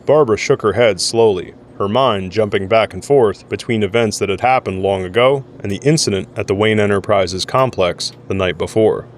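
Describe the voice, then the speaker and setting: A man's voice: lowish voice, normal speed, hardly any pauses, a little hiss or hum. A man narrating a scripted audio drama in the role of storyteller.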